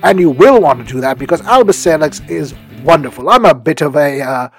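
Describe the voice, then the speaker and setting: A man with a fairly high voice speaks as a podcast host over soft music. He rambles whimsically and enthusiastically in a casual, comic promotional monologue. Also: animated, fairly quick, breathy edge. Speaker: podcast host recommending audio dramas.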